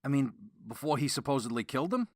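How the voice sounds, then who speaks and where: A man's voice: medium pitch, big pitch swings, fast, dry recording, clean studio sound, lightly acted. A man playing a blunt, skeptical detective's partner in an audio drama, plain-spoken and questioning. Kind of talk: modern audio drama acting.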